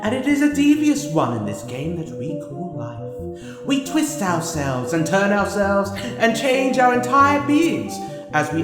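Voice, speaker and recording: A man performs a sinister male narrator of dark audio-drama tales. His voice sits a little high and moves slowly, savoring florid phrases with grand theatricality. A long reverb surrounds him. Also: heavily acted. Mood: grandiose, inviting. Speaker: narrator and host of an anthology audio series. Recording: long hall reverb under loud music.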